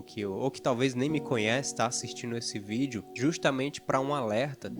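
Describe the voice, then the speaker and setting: A man speaks earnestly to his audience, recounting a personal story in a loose, conversational way full of small hesitations. His voice sits at an ordinary middle pitch. Clear guitar music plays underneath throughout. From Fortaleza, Ceará, Brazil. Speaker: video editor and YouTuber. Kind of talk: personal testimony to camera.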